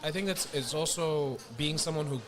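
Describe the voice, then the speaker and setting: A man hosting a podcast, chatting casually and telling stories in an animated way, acting out what other people said to him. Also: a little high, moderate pace. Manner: animated storytelling, acts out other people's lines. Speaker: podcast host. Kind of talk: casual chat.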